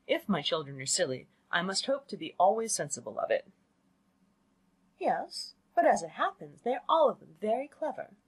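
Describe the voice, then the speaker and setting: A woman voicing a fussy, excitable middle-aged mother in a storybook, gushing and emphatic. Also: mid-range voice, moderate pace, husky. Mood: indignant.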